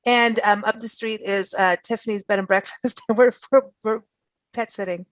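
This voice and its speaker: A woman's voice: mid-range voice, steady tone, moderate pace, no echo. A woman hosting a radio talk show, chatting casually and enthusiastically with guests. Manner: bubbly, laughing, enthusiastic. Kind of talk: casual chat interview.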